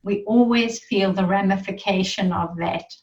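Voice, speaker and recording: A woman leading a guided meditation in a soothing, reverent manner, leaving long pauses between phrases. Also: mid-range voice, a little mellow. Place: online group gathering. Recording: muffled, roomy, distant online-call sound.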